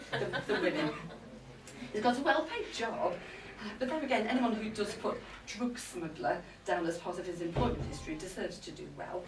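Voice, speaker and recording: A woman playing an irritable, aggrieved middle-aged wife in a live comic monologue, complaining indignantly. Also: on the high side. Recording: roomy live event, distant mic.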